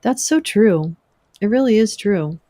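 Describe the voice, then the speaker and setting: A young woman hosting a casual livestream, chatting with viewers, swearing and laughing often, her voice wearing hoarse. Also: mid-range voice, lively intonation, measured pace, warm tone. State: losing her voice. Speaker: livestream host. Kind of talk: casual livestream chat reading viewer comments.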